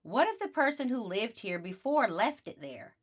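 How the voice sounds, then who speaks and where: A woman's voice: on the high side, very animated, moderate pace, voice pushed up. A woman voicing a teasing, skeptical boy in a storybook, with a taunting, contrary manner. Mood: wary. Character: teasing boy, skeptical, spooky-minded sibling.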